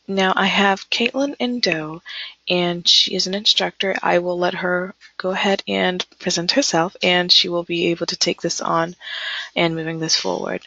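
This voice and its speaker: A woman's voice: fairly low pitch, fairly bright tone, dry recording. A woman hosting a company webinar, politely and formally introducing the presenter. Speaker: webinar host. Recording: dull lo-fi dry line.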